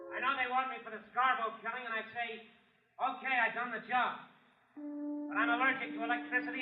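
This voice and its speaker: A man's voice: very high-pitched, narrow, tinny audio. An actor playing a defiant, fatalistic gunman calling out from a distance in a radio crime drama, with an American accent. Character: trapped male gunman, defiant, fatalistic, shouting from shelter. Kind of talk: old-time radio crime drama acting. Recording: thin, echoey, distant, noisy old radio.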